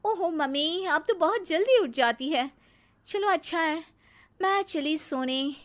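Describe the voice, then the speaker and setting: Woman acting snooty spoiled young model bahu, really high voice, dramatic, drops english words, cartoon story. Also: lively intonation, unhurried. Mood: dismissive. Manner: dramatic, peppers speech with English. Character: haughty, spoiled young model daughter-in-law. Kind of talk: animated moral story dialogue.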